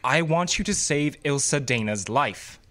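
Lovesick, moralizing gentleman client played by a man, mid-pitched, formal and flowery, old radio-drama style. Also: expressive, measured pace. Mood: anxious, pleading.

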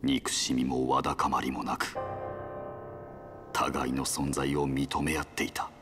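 A man's voice: rumbling low, flat delivery, music in the background. A man playing an embittered, weary leader of a machine race in a game cutscene, speaking solemnly and formally. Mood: sorrowful recollection. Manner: solemn, formal, deliberate delivery. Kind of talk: dramatic video game cutscene acting.

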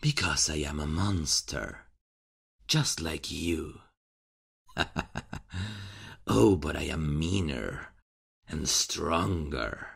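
A man voicing a calm, mocking vigilante killer who speaks slowly and with quiet menace in an ASMR horror roleplay. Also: extremely low pitch, vocal fry, slightly rough. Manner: slow, teasing, quietly menacing.

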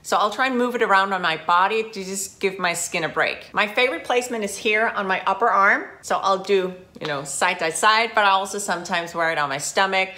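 A woman in her forties from Denmark, a guest talking casually to camera in her living room, friendly and animated. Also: medium pitch, brisk, few pauses. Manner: friendly, chatty, animated with hand gestures. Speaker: guest, founder of a website.

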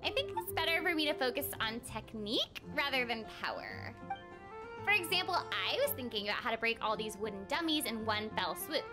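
A woman's voice: fairly high pitch, big pitch swings, brisk, over music, voice pushed down. A woman voicing a frustrated, earnest young female trainee knight, reading game dialogue aloud a little theatrically.

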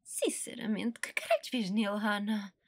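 A woman's voice: medium pitch, very animated, normal speed. A woman with a European Portuguese accent voicing a bored, scheming young woman teacher who feigns sweetness in an anime fan dub. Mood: bored, cynical.

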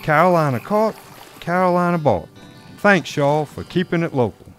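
A man's voice: fairly high pitch, lively intonation, moderate pace, over loud music. A man reading a folksy, homey commercial voice-over.

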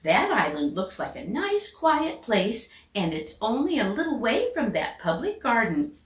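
Woman playing polite, unhurried father duck. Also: fairly high pitch, wildly swooping pitch, echoey room, pitched above the performer's own voice. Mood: approving. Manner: polite, hearty, measured. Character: polite, agreeable father duck, Mister Mallard.

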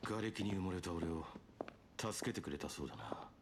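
An actor playing a brooding young male fighter who talks tersely and gravely in a game cutscene. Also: expressive, moderate pace, noisy background. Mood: subdued. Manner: terse, grave, low-voiced.

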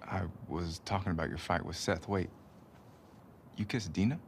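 An actor playing an easygoing young male survivor in a video game, teasing in a relaxed, wry, deadpan, naturalistic way. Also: deep voice, normal speed, slight background noise.

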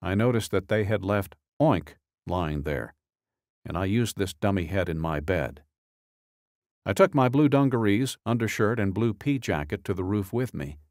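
A man with a fairly low voice narrates a true-crime audiobook. His delivery is measured and matter-of-fact, each phrase set down deliberately. The sound is dry and close to the microphone. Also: animated, a little mellow, no echo. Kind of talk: scripted narration, reading a true-crime statement.

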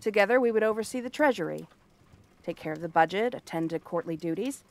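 Casting: female actor as cursed princess turned frog girl, cranky and theatrical, sharp-tongued but secretly kind, ASMR fantasy roleplay. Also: mid-range voice, expressive, fast, natural voice. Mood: wry storytelling. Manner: haughty, sardonic, theatrical tsundere delivery.

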